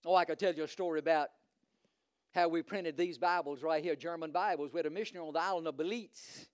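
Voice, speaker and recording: A man's voice: fairly high pitch, fast talker, clean tone, dry recording, clean studio sound. A man from Virginia with a Virginian accent, preaching a sermon as a visiting preacher, fervent and building to emphatic repetition. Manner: fervent, rising to emphatic preaching with frequent uh fillers.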